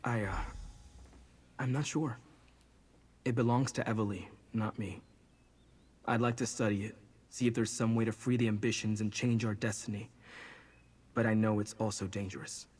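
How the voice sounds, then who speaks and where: Brooding young male fantasy outcast: low register, rough husky edge, measured and protective. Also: steady tone, a little mellow. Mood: wary, thoughtful. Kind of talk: fantasy video game dialogue.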